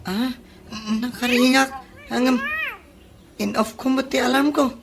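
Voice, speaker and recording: A woman's voice: high voice, fairly even intonation, slow. A woman with an Ilocano accent playing a hapless, whiny young male office worker in a comedy radio drama. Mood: sheepish. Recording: clear background noise.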